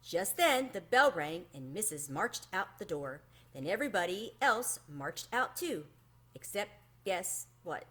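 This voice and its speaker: Animated, bright-voiced woman reading a children's story. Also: fairly low pitch, a little slow. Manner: animated, acts out the dialogue. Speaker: reader of a children's book. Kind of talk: expressive children's read-aloud.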